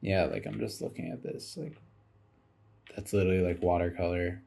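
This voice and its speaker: A man's voice: sits low, fairly even intonation, moderate pace, gravelly, mellow, slight background noise. A tired man giving rambling, casual commentary over game footage, musing aloud as he goes and swearing casually.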